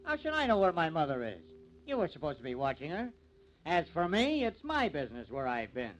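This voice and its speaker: Sneering young farm hothead, combative, lively higher pitch, vintage radio play. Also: male voice, brisk. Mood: bitter, scornful. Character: bitter, hot-headed young farmer's son, contemptuous of lawmen. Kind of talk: 1950s radio acting. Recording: muffled, noisy old radio recording.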